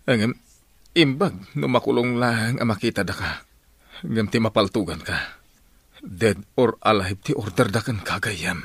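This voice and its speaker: An actor with an Ilocano Filipino accent playing an earnest, emotional friend who pleads with a fugitive in a radio drama. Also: male voice, fairly low pitch, measured pace, husky, no room sound. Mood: earnest pleading. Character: earnest male friend urging a fugitive to surrender and repent. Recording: old AM radio drama, music underneath. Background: dramatic music.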